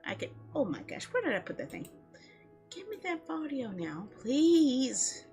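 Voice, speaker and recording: A woman's voice: sits high, sing-song, measured pace, a little mellow. A woman hosting a video game livestream, chatting casually with viewers in a playful, giggly way. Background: music.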